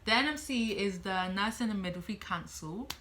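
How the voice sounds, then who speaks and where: A woman's voice: medium pitch, expressive, a little fast, bright, forceful tone, slight background noise. A woman in her late teens talking casually to camera as a student midwife giving advice, chatty, thinking aloud and repeating herself.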